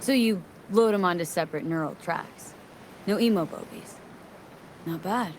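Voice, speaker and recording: A woman's voice: on the low side, moderate pace, background noise, close to an everyday voice. A woman playing a brash, wry young female adventurer in a video game, speaking bluntly and casually. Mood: questioning. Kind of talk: naturalistic video game acting.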